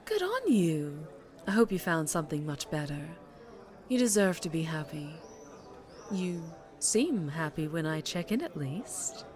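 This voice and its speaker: A woman playing a charming, menacing mafia boss in an ASMR roleplay, with a sultry, teasing, unhurried drawl. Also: low voice, very animated, a little mellow. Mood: smooth, quietly menacing.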